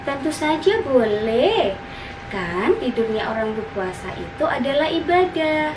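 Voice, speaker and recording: Storybook mother, performed by a woman: gentle, patient, soothing, unhurried. Noisy, roomy recording. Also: sits high, sing-song, recorded from a distance. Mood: caring.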